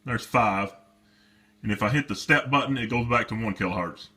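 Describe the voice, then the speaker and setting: A man's voice: lowish voice, normal speed, sharp tone, breathy edge. A man giving a casual demonstration of a product as its seller, talking off the cuff.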